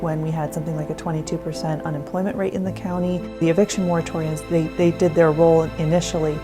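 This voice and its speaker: Woman program director: quick, businesslike talk, low voice, music behind. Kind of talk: interview in a produced video. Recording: music bed under the voice.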